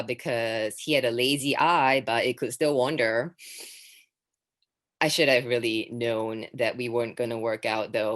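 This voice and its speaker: A young woman from Singapore telling jokes in a stand-up set. Her voice sits low, then jumps into shrill bursts on punchlines. She keeps a dry, deadpan tone between them. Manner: deadpan delivery with sudden high-pitched exclamations. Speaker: stand-up comedian.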